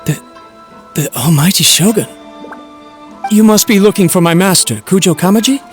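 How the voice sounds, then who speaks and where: Guy doing a nervous servant in a game, kinda high voice, stammery and humble, music behind. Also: moderate pace, natural voice. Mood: flustered, deferential. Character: humble, anxious, loyal male servant. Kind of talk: video game character acting.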